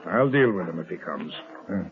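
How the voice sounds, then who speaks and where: A cold, scheming crime boss in an old radio thriller. His lowish voice is commanding and articulate, with an impatient edge, heard through thin, narrow-band 1930s broadcast sound. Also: animated, a little fast, a little hiss or hum. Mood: scornful.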